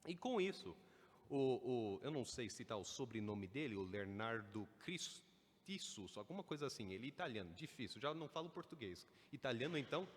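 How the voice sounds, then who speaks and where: A man's voice: mid-range voice, sing-song, a little fast, husky. A man preaching a Sunday evening sermon, building to emphatic stresses. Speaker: pastor. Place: church sanctuary. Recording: church hall with long reverb.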